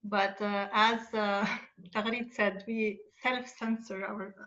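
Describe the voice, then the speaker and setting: A woman from Lebanon speaking earnestly on a panel, her voice at a middle pitch. The video call audio is dull and lo-fi, and she pauses often with fillers as she explains her points. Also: little pitch movement, fairly bright tone. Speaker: children's author on a panel. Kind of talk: online panel discussion.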